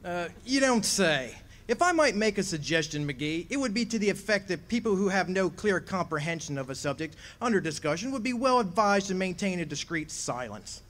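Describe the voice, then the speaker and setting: A man's voice: sits high, sing-song, fairly quick, few pauses, fairly bright tone. A man playing a pompous, long-winded male small-town mayor in a live radio play. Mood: stuffy.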